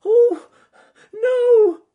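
A man voicing an earnest, timid male rabbit in a storybook, speaking in a high, crying voice. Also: normal speed, husky, narrow, tinny audio, full character voice. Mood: frantic.

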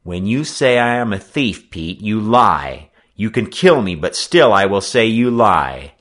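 A man's voice: lowish voice, animated, moderate pace, fairly bright tone, voice pushed up. A man voicing a righteous, stubborn American boy in an audiobook, speaking in an earnest, indignant, emphatic way. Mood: defiant. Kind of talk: audiobook character acting.

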